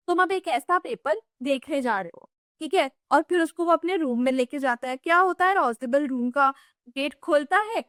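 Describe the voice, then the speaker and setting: A woman's voice: sits high, fairly bright tone, dry recording, studio quality. A young Indian woman teaching an exam revision lesson in a chatty way, switching languages and often saying "you know". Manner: chatty code-switching explainer with many "you know" fillers. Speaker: English teacher.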